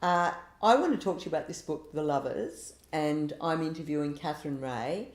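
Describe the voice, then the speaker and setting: Lively, enthusiastic woman interviewer. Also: low-pitched, normal speed. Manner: enthusiastic, encouraging listener. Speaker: interviewer, professor. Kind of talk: interview hosting. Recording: echoey room.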